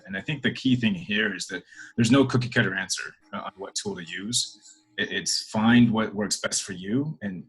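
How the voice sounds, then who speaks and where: A man's voice: low-pitched, a bit bright, slightly rough, rough recording. A middle-aged man answering interview questions in a hesitant, casual way, full of fillers and restarts. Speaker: interview guest, corporate professional.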